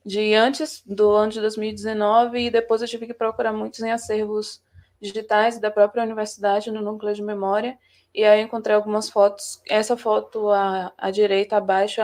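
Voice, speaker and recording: Woman from Salvador presenting her research, flat delivery. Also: mid-range voice. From Salvador, Bahia, Brazil. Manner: informal, self-correcting, explains slides. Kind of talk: academic conference presentation. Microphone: computer mic for a remote talk.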